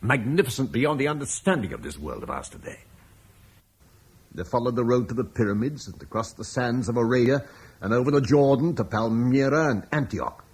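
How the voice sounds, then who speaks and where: An earnest landowner devoted to the memory of Rome. His husky, mid-pitched voice rises and falls expressively as he argues with eloquent persuasion in a classic radio play. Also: lively intonation, fairly quick. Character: earnest Romano-British landowner who reveres Rome. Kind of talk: classic radio drama acting.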